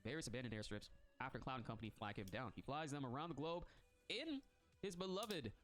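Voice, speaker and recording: Congested male streamer, fast and animated, reacts with slang and laughs. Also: medium pitch, soft background music. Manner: excitable, laughs often, slangy. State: congested from a cold. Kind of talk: casual reaction chat, reading text aloud.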